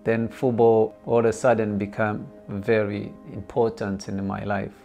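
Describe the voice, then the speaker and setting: A middle-aged man from Rwanda speaks slowly in a low, flat, gravelly voice. He hesitates and repeats words as he tells his story. Soft music plays underneath. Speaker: genocide survivor interviewee. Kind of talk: documentary interview testimony. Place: indoor living room.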